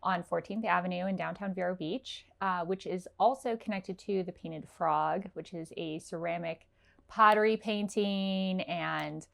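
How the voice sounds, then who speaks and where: A woman's voice: fairly low pitch, fairly even intonation, a little slow, gravelly. A woman hosting a casual interview, chatty and affirming as she talks with her guest. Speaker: interviewer, host.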